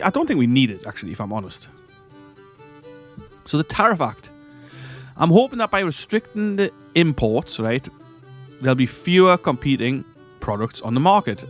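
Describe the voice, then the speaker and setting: A man with a stuffy nose from a cold, giving casual commentary over a strategy game. He rambles, corrects himself and chuckles often. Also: mid-range voice. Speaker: strategy game let's-play host.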